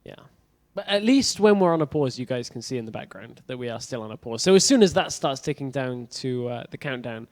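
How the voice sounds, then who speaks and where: A man casting an esports match, teasing his co-caster with wry humor during a pause. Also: mid-range voice, animated. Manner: wry, teasing, upbeat filler talk. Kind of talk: casual caster banter. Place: event stage in a venue.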